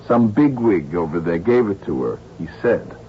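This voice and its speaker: An actor playing a dutiful, plain-spoken police sergeant in an old-time radio drama. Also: male voice, low-pitched, animated, moderate pace. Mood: reporting. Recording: dull old radio recording, faint noise.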